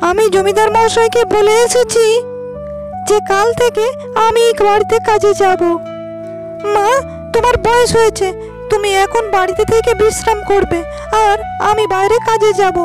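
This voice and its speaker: A poor, caring young village daughter in an animated folk tale, played by a woman. The voice is extremely high with little rise and fall. She speaks earnestly and politely over background music. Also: moderate pace. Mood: caring, firm. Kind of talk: animated moral-tale cartoon dub.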